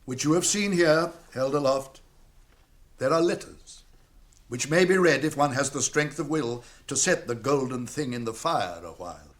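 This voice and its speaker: An actor with a British accent playing a wise, commanding old wizard in a radio fantasy drama, speaking formally and deliberately. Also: male voice, mid-range voice, expressive, natural voice. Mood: grave.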